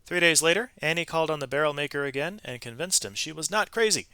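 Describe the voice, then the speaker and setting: A man reading a storybook aloud to students in an expressive, emphatic way, with brief explanatory asides to his listeners. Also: on the high side, fast, smooth, no room sound. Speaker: read-aloud narrator, teacher reading to students.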